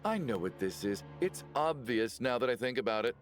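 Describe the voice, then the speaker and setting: A man's voice: mid-range voice, big pitch swings, normal speed, natural voice. An actor playing a self-important, jumpy wealthy man in a video game, theatrical and insistent, pressing others with questions. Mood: ominous deduction. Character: panicky, accusatory, self-important wealthy man under threat. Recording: music behind the voice. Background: music.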